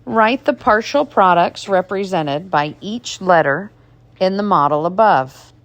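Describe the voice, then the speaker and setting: A woman teacher reading math test questions aloud, deliberately, item by item. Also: lowish voice, animated, no echo, muffled recording.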